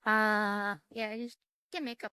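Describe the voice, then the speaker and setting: A woman hosting a gaming video, chatting casually and reading game text aloud in a halting way that repeats words. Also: medium pitch, level tone, bright, forceful tone, clean tone, dry recording, clean studio sound.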